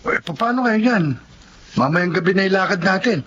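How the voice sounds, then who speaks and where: A young Filipino son, very high-voiced for a man, hesitant and good-hearted. He speaks earnestly in radio-drama style over a dull, lo-fi recording. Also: animated, normal speed, fairly bright tone. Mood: questioning, concerned. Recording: dull lo-fi audio, faint noise.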